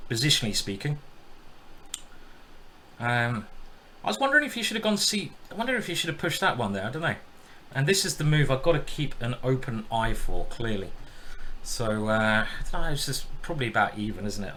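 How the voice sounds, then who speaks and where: A man commentating on his own online chess game in a relaxed, jokey live-stream manner. His voice is bright and forward at a middle pitch. A faint background noise sits under him. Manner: chatty, jokey, greets viewers while thinking aloud.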